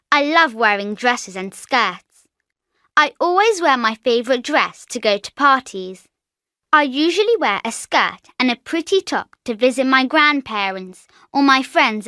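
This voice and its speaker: A woman voicing a cheerful eight-year-old girl in a scripted language course, with clear enunciation. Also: sits high, sing-song, unhurried, pushed and bright, no echo, studio quality, exaggerated voice.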